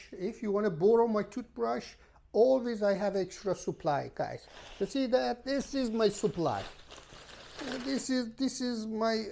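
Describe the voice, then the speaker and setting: Female travel vlogger, non-native English, mellow creaky voice, rambling and exasperated to camera. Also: medium pitch. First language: not English. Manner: emphatic, repetitive, exasperated storytelling. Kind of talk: casual rambling rant to camera.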